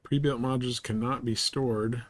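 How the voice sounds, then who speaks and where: A man's voice: medium pitch, fairly even intonation, moderate pace. A man giving casual live commentary as he plays a game, thinking aloud as he goes. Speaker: game streamer.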